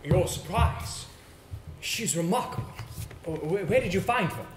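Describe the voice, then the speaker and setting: A man acting in an amateur stage play as an earnest actor, speaking in a theatrical, measured way with dramatic pauses. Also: sits high, lively intonation. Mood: puzzled, intrigued. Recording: echoey stage recording, faint noise.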